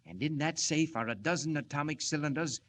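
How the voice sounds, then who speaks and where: Proud, formal scientist inventor. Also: male voice, fairly high pitch, fairly bright tone. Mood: assured, explanatory. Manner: formal, measured, explanatory. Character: earnest, proud, secretive male scientist inventor. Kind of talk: 1940s radio acting.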